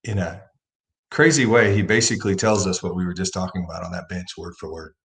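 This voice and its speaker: A man's voice: low voice, steady tone, a little fast, vocal fry, fairly bright tone. A man hosting a livestream, talking casually about faith and telling a personal story with rising emphasis. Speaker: livestream host.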